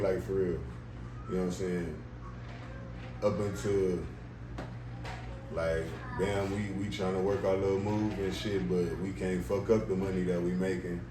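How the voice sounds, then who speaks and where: A young man rapper, the guest, talking in a casual, slangy, laid-back way with a deep voice and lots of "you know what I'm saying.". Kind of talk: casual interview chat. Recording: echoey room, distant mic, background noise.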